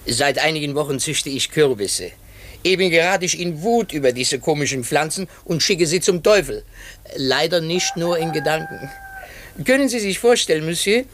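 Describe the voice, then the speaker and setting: Courteous, excitable foreign gentleman detective, higher-pitched. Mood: apologetic, exasperated. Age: older. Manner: courteous, florid, theatrical. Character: polite, excitable retired foreign detective, an older man. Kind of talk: 1950s German radio drama acting.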